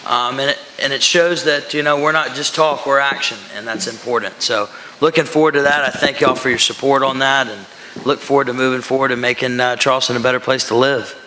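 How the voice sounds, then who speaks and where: Bright-voiced councilman in an echoey hall. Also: medium pitch, fairly even intonation, a little fast. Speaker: city council member. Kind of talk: informal meeting remarks. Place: large council meeting room. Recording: echoey hall with background noise.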